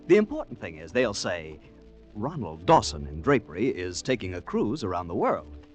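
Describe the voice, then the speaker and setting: An actor playing a prim, eager-to-please store clerk, fussy and hesitant, narrating and acting in 1940s radio drama style. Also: male voice, on the low side, wildly swooping pitch, normal speed, darkish tone, soft background music. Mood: chatty, smitten.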